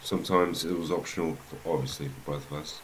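Kiwi guy, really low voice, soft and slow storytelling, lots of ums, faint noise behind. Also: steady tone. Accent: New Zealand. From New Zealand. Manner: soft, unhurried storytelling with frequent "um" pauses. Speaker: rugby head coach, guest. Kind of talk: casual interview, telling his life story.

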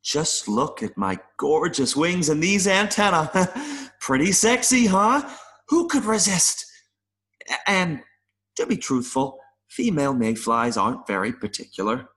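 A man playing an adult male mayfly in an audio play, nervous and earnest, pleading haltingly with little buzzing sounds. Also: fairly high pitch, expressive, fairly bright tone. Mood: boastful. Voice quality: buzzing interjections between phrases.

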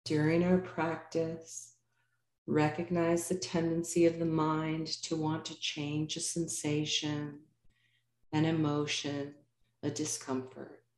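Female meditation teacher, mellow and calm, measured phrasing with pauses, roomy echoing sound. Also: low voice. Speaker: yoga nidra meditation teacher. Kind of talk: guided meditation narration.

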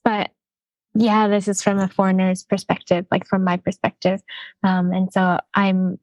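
A woman's voice: medium pitch, monotone, moderate pace, a little mellow, no echo. A woman from Canada, a guest in a casual interview, speaking thoughtfully with many hesitations and fillers. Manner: thoughtful, hedging, frequent "um" and "like".

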